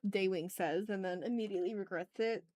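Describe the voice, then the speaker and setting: A woman's voice: mid-range voice, fairly quick, a little mellow. A woman chatting casually on an art livestream, thinking aloud with self-deprecating remarks and some swearing. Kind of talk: casual livestream chat while drawing.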